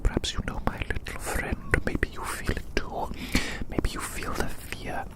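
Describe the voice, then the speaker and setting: Whispering ASMR roleplayer, musing and repetitive. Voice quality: breathy unvoiced whisper. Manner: musing, repeats phrases slowly and deliberately.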